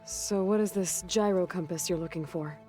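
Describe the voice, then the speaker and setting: A woman's voice: lowish voice, moderate pace, mellow, close to an everyday voice. An actress playing a capable young female adventurer in a video game, speaking in a direct, understated, questioning way. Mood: curious. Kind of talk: video game cutscene acting. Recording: music behind the dialogue.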